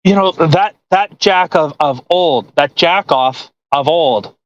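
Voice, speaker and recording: A woman's voice: low-pitched, slow pace, lo-fi sound. A woman hosting a podcast, chatting casually in a rambling, incredulous and mocking way. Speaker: podcast host. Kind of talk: casual chat.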